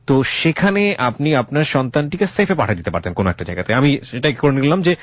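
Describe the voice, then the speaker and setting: A man's voice: medium pitch, expressive, fast, no echo, highs cut off. A man with a Bangladeshi accent presenting a radio interview, asking probing questions and dropping in English phrases. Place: radio studio.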